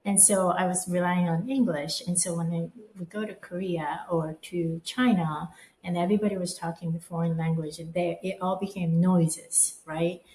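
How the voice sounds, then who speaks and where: A woman's voice: fairly low pitch, steady tone, moderate pace, warm tone. A woman speaking as a podcast guest, reflective and thoughtful, often murmuring agreement as she listens. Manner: thoughtful, frequent affirming murmurs. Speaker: guest, design firm co-managing director. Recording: echoey room with faint background noise.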